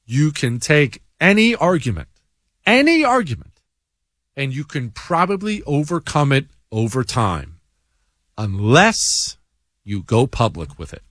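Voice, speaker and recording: A man's voice: medium pitch, huge pitch swings, measured pace, fairly bright tone, no echo, clean studio sound. A man hosting a talk show, reading listener emails and answering them in a blunt, sarcastic, emphatic monologue. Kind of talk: opinionated monologue.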